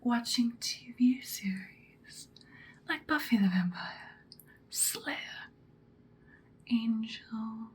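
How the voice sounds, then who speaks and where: A woman playing a seductive vampire queen in an ASMR roleplay, whispering breathily in a soothing, predatory, deliberate way. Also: a little high, rough recording. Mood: intimate, coaxing. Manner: slow, deliberate, hypnotic soothing.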